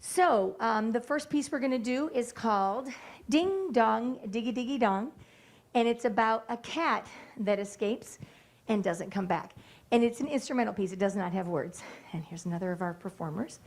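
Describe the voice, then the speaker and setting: A woman music teacher explaining a piece and directing her students in a meeting room. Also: fairly high pitch. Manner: brisk, directive teacher talk. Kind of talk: classroom instruction to students. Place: school board meeting room.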